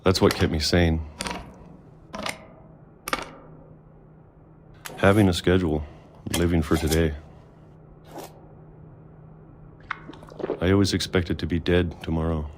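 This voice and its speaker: An actor playing a weary, middle-aged recluse in a video game, narrating reflectively in a slow, measured, resigned manner. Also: male voice, deep voice, fairly even intonation, background noise, natural voice. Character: weary reclusive man, decades hidden in a bunker.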